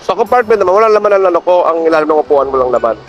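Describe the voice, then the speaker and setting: A man's voice: on the high side, expressive, very fast, muffled recording. A Filipino man chatting casually and playfully as a motorcycle rider vlogger, teasing and joking, speaking into a helmet intercom. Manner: teasing, jokey. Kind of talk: casual playful chat with a passenger. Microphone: helmet intercom headset. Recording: music behind the voice. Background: music.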